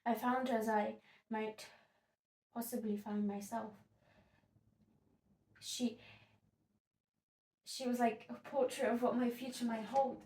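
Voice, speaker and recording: Woman acting opinionated young heroine of classical comedy, higher voice, dramatic, emphatic, sardonic, roomy echo. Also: little pitch movement, slow pace, pauses often, warm tone. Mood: pitying, resolute. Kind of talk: theatrical stage monologue. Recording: echoey room, distant microphone.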